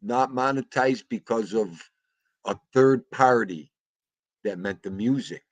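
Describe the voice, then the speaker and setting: A man's voice: medium pitch, takes it slowly, no echo. A man hosting a casual livestream chat, greeting viewers and laughing often. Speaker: livestream host.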